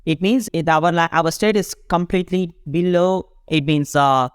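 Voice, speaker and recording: A host in their fifties from Tamil Nadu, a native Tamil speaker with a South Indian English accent, talking slowly and rambling through a motivational podcast while often restarting and correcting phrases. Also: medium pitch, clean tone, dry recording, clean studio sound. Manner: rambling, repeats and corrects itself, slow deliberate phrasing.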